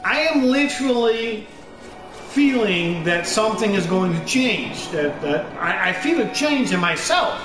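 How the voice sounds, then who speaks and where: A man's voice: sits high, lively intonation, unhurried. A young Dutch man with a Dutch accent, rambling in a casual personal monologue and swearing often, with many fillers and restarts. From Netherlands. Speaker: gaming channel host. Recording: roomy echo with music underneath.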